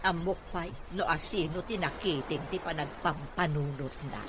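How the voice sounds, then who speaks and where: A woman's voice: low-pitched, moderate pace, background noise, lightly acted. A woman playing a protective, outspoken older mother in an Ilocano audio drama. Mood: reproachful. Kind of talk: Ilocano radio-style drama acting.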